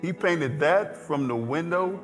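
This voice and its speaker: Slow, echoing stage storyteller played by a man. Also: a little high, expressive. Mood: reverent, fond. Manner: storytelling, deliberate with long pauses. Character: admiring man fondly recounting a troubled painter's life. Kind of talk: theatrical stage monologue. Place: indoor stage performance space. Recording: echoey stage hall, music behind. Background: plucked guitar music.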